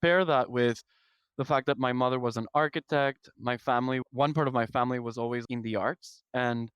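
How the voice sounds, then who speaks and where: Articulate Ecuadorian man, smooth voice. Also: medium pitch, fairly even intonation, brisk, no room sound, dull audio. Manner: thoughtful, articulate, lists ideas in sequence. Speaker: podcast guest, product executive. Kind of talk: conversational interview answers.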